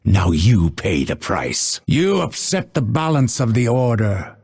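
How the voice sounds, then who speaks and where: An actor playing a proud, cold, vengeful assassin in a video game, with a husky voice and measured, haughty, menacing delivery. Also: male voice, lowish voice, sing-song, a little mellow, heavily acted. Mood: cold contempt. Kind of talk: scripted game voice lines, theatrical delivery.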